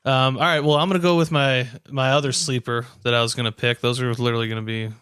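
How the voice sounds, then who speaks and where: A man's voice: medium pitch, level tone, fast talker, a bit bright, smooth, dry recording, clean studio sound. A man bantering casually as a sports podcast co-host, with slangy bro talk.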